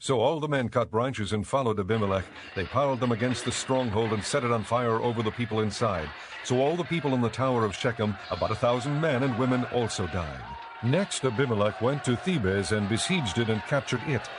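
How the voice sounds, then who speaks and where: A man narrating a dramatized audio Bible in a measured, clearly enunciated storytelling style. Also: medium pitch, noisy background.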